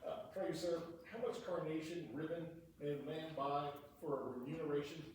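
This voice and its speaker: A man playing a simple, muddled, good-natured country clown with halting delivery in a Shakespearean comedy reading. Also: a little high, fairly even intonation, natural voice. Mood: puzzled. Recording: echoey hall, distant mic, faint noise.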